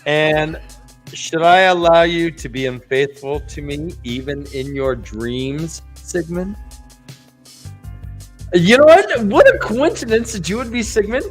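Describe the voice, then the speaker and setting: A man co-hosting a podcast, excitable and goofy, laughing often. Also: fairly high pitch, measured pace, soft background music. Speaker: podcast co-host. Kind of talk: casual podcast chat.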